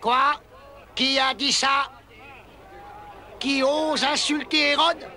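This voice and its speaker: An actor playing a pompous, fawning high priest who serves a king in a cartoon. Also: steady tone, slow, sharp tone, a faint crowd, full character voice. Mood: indignant. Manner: pompous, obsequious, with nervous chuckles. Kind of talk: French cartoon dub acting.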